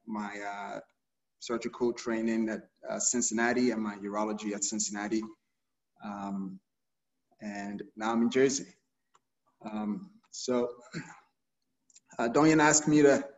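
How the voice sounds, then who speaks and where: A middle-aged doctor from Ghana talks through a health presentation on a video call, stopping often to restart his phrases. His voice sits at an ordinary pitch but comes from a little way off the mic, in a roomy space, and the sound is dull and muffled. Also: far from the mic. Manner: hesitant, many fillers and repeated starts. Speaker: urologist giving a health talk.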